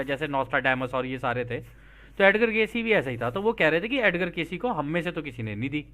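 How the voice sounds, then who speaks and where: Man, explainer host, high voice, bright and sharp tone, casual read-and-explain delivery, frequent check-ins with listeners. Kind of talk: reading a translated text with casual spoken commentary.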